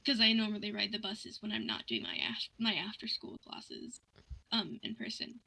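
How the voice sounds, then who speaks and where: A young woman chatting casually, telling a story in an animated, ranting way and acting out both sides of conversations. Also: mid-range voice, normal speed, vocal fry, low-quality recording.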